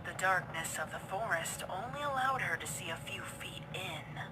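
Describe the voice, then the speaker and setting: A woman reading dark fiction as a dramatic narrator, her phrases often falling hushed. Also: fairly low pitch, lively intonation, normal speed, background noise. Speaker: story narrator. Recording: very loud storm-like ambience behind.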